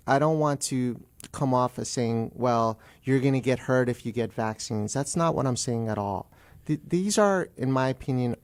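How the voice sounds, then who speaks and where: A man being interviewed live on radio as a guest attorney, speaking carefully and qualifying his opinions. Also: mid-range voice.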